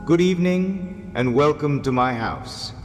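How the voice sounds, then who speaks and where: A man's voice: on the high side, animated, a little slow. A man playing a spectral, aristocratic old host of a haunted mansion in a gothic audio drama, formal, ominous and welcoming. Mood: solemn welcome. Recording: long reverb, faint music behind.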